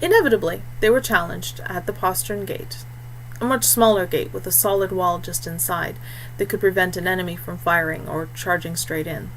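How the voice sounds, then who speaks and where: A woman's voice: fairly low pitch, steady tone, normal speed, a little hiss or hum, rough recording. A woman reading scripted fiction as an audiobook narrator.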